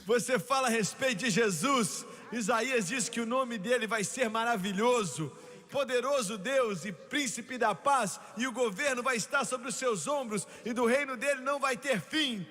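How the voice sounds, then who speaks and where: A man delivers a fervent revival sermon at a very high pitch, with a bright, forceful edge. He shouts exultantly and drives his phrases home through repetition. His voice rings through a reverberant hall with a noisy crowd behind him. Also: normal speed. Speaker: preacher. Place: large reverberant hall with congregation.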